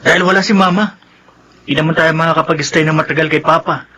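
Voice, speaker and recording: A man's voice: a little high, steady tone, fast, bright, forceful tone, a little hiss or hum. A young man playing an easygoing, understanding grown son who grumbles lightly, in a Filipino radio drama. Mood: grumbling. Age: young adult.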